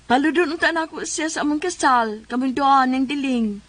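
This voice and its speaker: A woman's voice: high voice, a little fast, fairly bright tone, no room sound. A woman playing an eager bride-to-be neighbor with a Cebuano accent in a comedic radio drama. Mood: friendly, hopeful. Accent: Cebuano Filipino. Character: eager young bride-to-be neighbor seeking favors.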